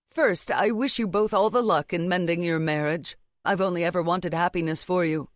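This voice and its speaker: A woman's voice: on the low side, no echo, studio quality, natural voice. Woman narrator as a cool, menacing family matriarch. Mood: formal. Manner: cool, calm, measured, menacing. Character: stern, coolly angry Indian mother-in-law, family matriarch.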